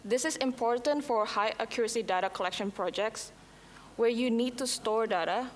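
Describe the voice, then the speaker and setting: A woman whose first language is Indonesian, presenting a scripted software demo at a conference, with a few slips and restarts. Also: on the high side, moderate pace, slight background noise. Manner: reads prepared lines, occasional slips and restarts. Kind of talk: scripted product demo talk. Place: conference hall. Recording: long hall reverb, faint ambience.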